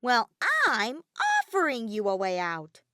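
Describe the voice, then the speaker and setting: A woman's voice: medium pitch, big pitch swings, unhurried, bright, forceful tone, dry recording, clean studio sound. A woman voicing a mischievous, flippant robotic bear villain in a dramatic audiobook reading, theatrical, grumbling and cartoonish. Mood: exasperated. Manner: matter-of-fact, theatrical, grumbling.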